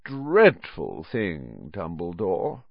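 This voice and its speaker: A man voicing a haughty aristocratic villain in a storybook, sneering with a lazy, smooth, condescending drawl. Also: on the low side, wildly swooping pitch, measured pace, dry recording, dull audio, voice pushed up, heavily acted. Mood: lazily smug.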